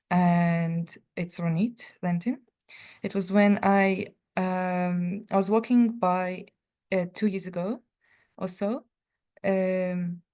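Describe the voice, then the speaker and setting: Panel speaker: woman, middle-aged, slow and hesitant, many restarts. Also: on the low side, dark tone. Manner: halting, many fillers and restarts. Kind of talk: personal account at a panel talk.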